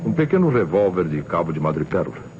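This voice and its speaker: An actor playing a grave, formal Brazilian police inspector in an old radio detective drama. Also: male voice, sits low, very animated, fast talker. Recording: muffled, hissy old radio recording.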